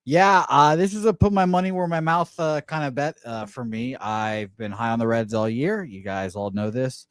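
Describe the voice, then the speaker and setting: A man's voice: medium pitch, normal speed, few pauses, clear, resonant tone, no room sound. A man whose first language is not English, giving his betting picks casually, with lots of fillers and self-corrections. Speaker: betting analyst. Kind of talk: sports betting radio talk.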